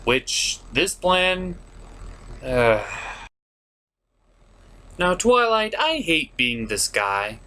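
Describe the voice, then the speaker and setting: Animated, theatrical man ranting in a review. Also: on the high side, drawn-out words, pauses often, a little hiss or hum. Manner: theatrical, sarcastic, ranting exasperation. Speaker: host of an online puppet review show. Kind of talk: comedic scripted cartoon review.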